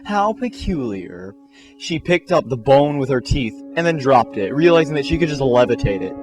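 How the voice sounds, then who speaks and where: A man's voice: mid-range voice, animated, brisk, poor audio. Theatrical male storyteller over music. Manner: theatrical, mock-serious. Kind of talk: comic dramatic fan-fiction narration. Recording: music behind the voice.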